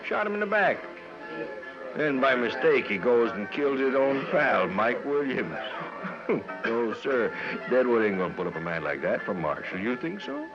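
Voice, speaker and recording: A muffled vintage radio western with music, and a heavy-set saloon tough. He drawls in a folksy Western accent, swinging widely in pitch, sly and menacing. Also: very animated, normal speed. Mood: scornful, threatening. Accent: American Western. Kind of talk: 1950s radio western acting.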